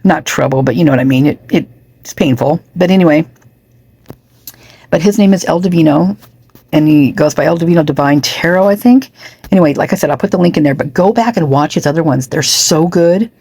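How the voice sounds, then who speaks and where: A woman's voice: sits low, fairly quick, dark tone, slightly rough, no echo. A woman losing her voice, chatting casually and rambling with many asides as a true crime commentator.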